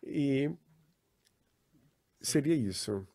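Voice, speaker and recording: A man, interpreting live over a video call in a halting, self-correcting way. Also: medium pitch, lively intonation, dark tone. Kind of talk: live interpretation for an online panel.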